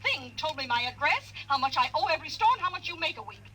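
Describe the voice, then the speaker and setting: Fast, high-pitched scolding wife. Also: female voice, expressive. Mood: indignant, scolding. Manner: sharp, scolding, rattling off complaints. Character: scolding, indignant nagging wife. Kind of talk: 1950s radio drama acting. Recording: very noisy, humming old radio recording.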